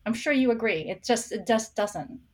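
A woman's voice: a little high, expressive, moderate pace, darkish tone. A middle-aged woman, born in San Francisco and raised in Hong Kong, talking reflectively as a podcast guest and often restarting her sentences. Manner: reflective, with frequent restarts and fillers. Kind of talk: casual interview talk.

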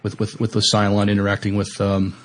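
A man with a fairly low voice and very flat intonation chats casually as a podcast panelist. His delivery is halting, feeling its way through each sentence. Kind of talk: casual discussion of TV science fiction.

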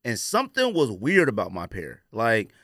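A man's voice: lowish voice, huge pitch swings, moderate pace, fairly bright tone, no room sound, clean studio sound. A man chatting casually and informally on a sneaker podcast, with plenty of slang.

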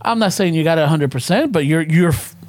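A man's voice: on the high side, animated, fast talker, darkish tone. A man chatting casually with friends, switching between English and Spanish, rambling and swearing as he tells stories.

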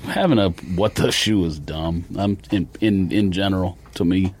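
A man's voice: sits low, moderate pace, darkish tone, husky. A man co-hosting a podcast who chats casually and bluntly disagrees with his friends. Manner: blunt, contrarian. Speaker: podcast co-host. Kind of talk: casual chat.

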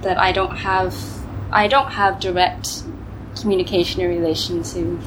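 A woman's voice: on the low side, steady tone, normal speed, background noise. A woman from Canada, a choreographer and dancer, talking reflectively in a casual interview. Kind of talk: casual conversation.

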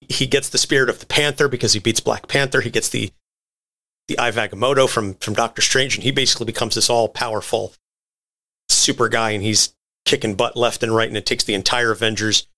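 A man's voice: on the low side, fairly even intonation, brisk, sharp tone. A man co-hosting a podcast, explaining comic book history in a conversational, articulate and wordy way. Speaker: podcast co-host.

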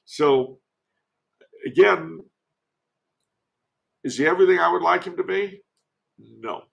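Older guy guesting on a podcast, super gravelly fry in his voice, rambly storyteller who leans on his points. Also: high voice, slow. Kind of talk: conversational commentary with anecdotes.

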